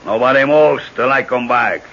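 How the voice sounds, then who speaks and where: An actor playing a commanding, mocking hijacker boss in a 1970s radio drama. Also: male voice, medium pitch, moderate pace, gravelly, a bit bright, slightly rough. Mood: commanding, menacing. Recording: muffled, hissy 1970s radio broadcast.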